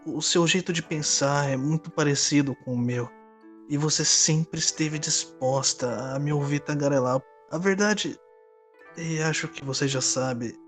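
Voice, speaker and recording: A man's voice: mid-range voice, close to an everyday voice. A man playing a lovestruck young man in an audio drama, earnest and nervous, speaking with dramatic feeling. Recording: music under the voice. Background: background music.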